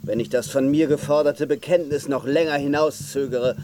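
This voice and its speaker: Ein Schauspieler spielt in einem Hörspiel einen hochmütigen rivalisierenden Ritter, der förmlich und blumig spricht. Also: male voice, on the high side, steady tone, normal speed, darkish tone, natural voice. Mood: haughty. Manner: formal, flowery, archaic speech. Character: haughty male rival knight, mock-courtly challenger. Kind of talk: radio play acting.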